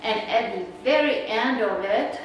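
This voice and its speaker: A woman's voice: fairly high pitch, unhurried. A woman with a Czech accent giving a casual, rambling slide talk to a naturalist club, full of fillers. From Czech Republic. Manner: chatty, rambling, with fillers and laughs. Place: meeting room. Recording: echoey room, voice from far off, noisy.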